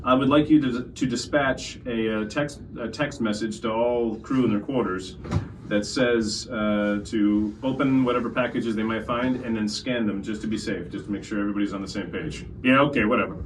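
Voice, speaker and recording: A man's voice: lowish voice, steady tone, normal speed. A man playing a casual tabletop role-playing game, improvising in character with stop-start phrasing. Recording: distant mic, faint room noise.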